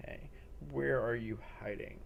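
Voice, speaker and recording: A man acting as game master, narrating a role-play scene in a halting, descriptive way and putting questions to players. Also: medium pitch, steady tone, creaky, phone-quality sound. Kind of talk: improvised narration of a tabletop-style zombie role-play.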